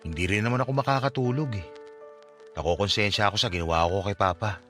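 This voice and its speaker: A man's voice: on the low side, fast talker, a bit bright, close to an everyday voice. A man performs a grieving son in a radio drama, heavy with guilt. He speaks emotionally and blames himself, and music plays beneath his voice. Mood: downcast, guilty. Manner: emotional, self-blaming. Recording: music bed under the voice.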